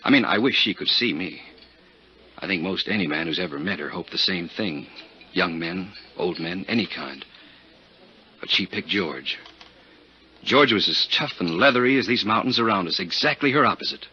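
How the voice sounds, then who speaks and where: An actor playing a flustered, gossipy American insurance broker, earnest and confiding, in a 1950s radio drama. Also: male voice, low-pitched, animated, normal speed, sharp tone, a little hiss or hum. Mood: bitter, confiding. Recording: muffled 1950s radio recording.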